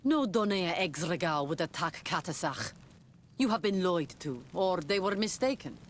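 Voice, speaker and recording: An actress playing a proud, stern island clan leader in a video game, speaking in a solemn, deliberate way. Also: female voice, lowish voice, lively intonation, a little hiss or hum, lightly acted. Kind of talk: video game cutscene acting.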